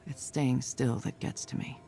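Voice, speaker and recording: An actor playing a restless, battle-worn veteran soldier in a game cutscene, talking in a terse, reflective way. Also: male voice, medium pitch, steady tone, unhurried, creaky, mellow, soft background music.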